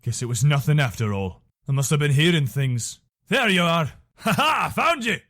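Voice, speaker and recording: A man's voice: fairly high pitch, very animated, normal speed, a bit bright, no echo, clean studio sound. A man playing a loyal, weary imperial soldier in a fantasy video game, speaking in an earnest, conversational, soldierly way. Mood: wry, friendly, then aggressive, shouting. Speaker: voice actor for a game character. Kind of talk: video game character dialogue.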